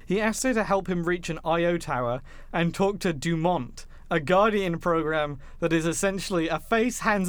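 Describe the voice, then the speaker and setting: A man's voice: fairly high pitch, clean tone, no echo. A man hosting a podcast, recapping a film plot in a casual, jokey and informal way. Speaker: podcast host.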